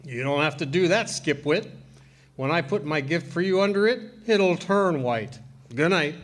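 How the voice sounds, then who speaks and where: Man playing animated, sardonic radio doctor. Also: fairly high pitch, normal speed, background noise, close to an everyday voice. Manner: dry, wordy, sardonic. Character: crusty, wisecracking doctor, dryly scornful of fools. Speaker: doctor character. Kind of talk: 1940s radio comedy acting.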